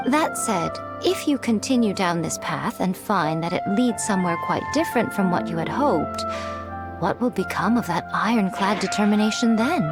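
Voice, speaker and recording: A woman's voice: medium pitch, close to an everyday voice. A woman voicing a sly, elegant, self-assured fox lady in a video game, teasing and condescending with poised phrasing. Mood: mocking.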